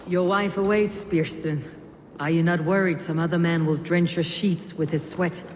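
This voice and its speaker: An actor playing a confident Viking warrior in a video game, speaking in a terse, dry, assured way. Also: male voice, fairly high pitch, fairly even intonation, normal speed, a little hiss or hum, lightly acted. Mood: dry, blunt. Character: blunt, confident male Viking warrior advisor. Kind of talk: dramatic video game dialogue. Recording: echoey reverb with faint music.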